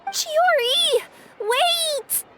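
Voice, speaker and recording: A woman's voice: extremely high pitch, extremely slow, music in the background, exaggerated voice. A woman voicing an earnest young cat-girl courier in a video game, a loyal friend with a girlish voice. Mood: alarmed.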